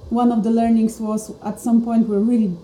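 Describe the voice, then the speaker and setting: A woman's voice: a little high, level tone, moderate pace, dark tone. A woman from Poland, a startup co-founder, speaking earnestly as a podcast guest. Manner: reflective, earnest. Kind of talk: casual podcast chat. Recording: roomy sound with faint noise.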